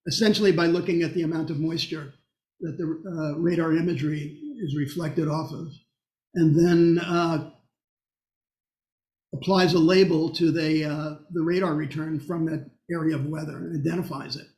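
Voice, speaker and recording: Male lecturer, slightly high voice, halting explanatory delivery, echoey recording. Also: steady tone, darkish tone. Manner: halting, explanatory, with pauses mid-sentence. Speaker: presenter. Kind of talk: technical lecture with question and answer.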